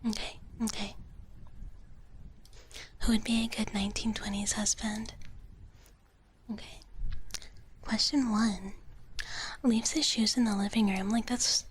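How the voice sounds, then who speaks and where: A woman whispering softly and breathily in a gentle, cutesy ASMR live stream, chatting casually to viewers and softly repeating her phrases. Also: mid-range voice, measured pace, long pauses. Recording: clear steady background noise.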